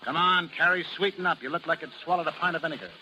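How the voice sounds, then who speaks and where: An actor playing a gruff, bossy carnival barker and stern father with slangy, commanding American speech, in 1930s radio style. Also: on the high side, rapid-fire, natural voice. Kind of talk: 1930s radio drama acting. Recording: noisy, hissy 1930s radio recording.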